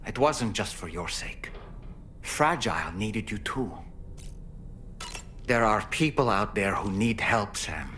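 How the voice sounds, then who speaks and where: An actor playing a solemn, caring confidant who speaks sincerely and with measured pauses in a video game cutscene. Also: male voice, lowish voice, lively intonation, pushed and bright, heavily acted. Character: solemn, caring male confidant urging a comrade onward. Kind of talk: scripted game cutscene dialogue. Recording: faint background noise.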